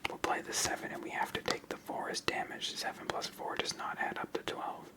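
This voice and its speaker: A fully whispered voice hosts an ASMR solitaire session. The delivery is hushed and unvoiced, narrated step by step in an explanatory way, close to the microphone. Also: brisk. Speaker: host playing a solitaire card game. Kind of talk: ASMR whispered game commentary.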